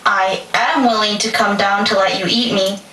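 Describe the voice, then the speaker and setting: A woman's voice: medium pitch, fairly even intonation, normal speed, sharp tone, close to an everyday voice. A woman playing a clever, brave young girl in a puppet show. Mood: persuasive. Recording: echoey, distant, slightly noisy stage recording.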